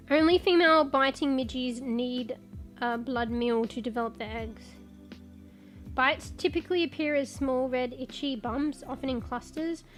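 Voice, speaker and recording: A congested woman, rambling in casual live-stream chat as a streamer, swearing and reading search results aloud. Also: high-pitched. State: congested, losing her voice.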